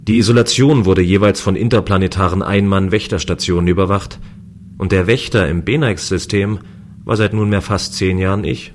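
A man's voice: sits low, fairly even intonation, moderate pace, a little hiss or hum, natural voice. A man playing a lonely, easygoing space station guard, narrating and speaking in a scripted sci-fi radio play. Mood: calm, reflective. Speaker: voice actor and first-person narrator.

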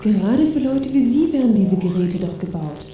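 A woman's voice: fairly high pitch, lively intonation, normal speed, close to an everyday voice. A woman playing a pushy, scornful gadget seller in a satirical radio play, insistent and condescending. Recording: very echoey, distant, music behind.

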